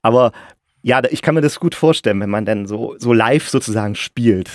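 A man's voice: mid-range voice, a little fast, a little mellow, no echo, clean studio sound. A man chatting casually as an interviewer, often breaking off and rephrasing his sentences mid-way. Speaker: interviewer, podcast host.

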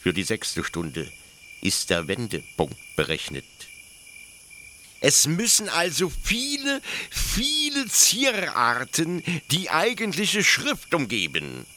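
An actor playing a zealous military officer who explains things eagerly, haltingly and pedantically in a German radio play. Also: male voice, fairly high pitch, wildly swooping pitch, bright, forceful tone, a little hiss or hum, exaggerated voice. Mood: amused, fanatical. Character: zealous male military officer proudly explaining his execution machine.

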